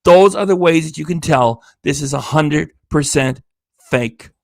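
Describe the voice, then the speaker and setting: A man's voice: a little high, measured pace, gravelly, no echo, clean studio sound. Tired, indignant man ranting sarcastically. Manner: sarcastic, indignant, swears often. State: tired, losing his voice. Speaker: reaction channel host. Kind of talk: ranting livestream commentary.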